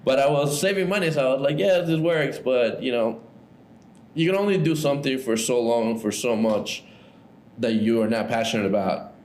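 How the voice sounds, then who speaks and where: A man's voice: mid-range voice, normal speed. A man in his thirties from Ecuador, telling his story as a podcast guest in a loose, casual way full of "like" and "right?". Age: 30s. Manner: relaxed, loose, lots of "like" and "right?".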